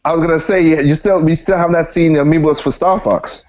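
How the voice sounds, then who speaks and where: Male podcaster, slightly high voice, emphatic slangy opinions, casual delivery, dull lo-fi recording. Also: fairly even intonation, fast talker, a little mellow. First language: not standard American English. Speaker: podcaster, guest on a gaming podcast.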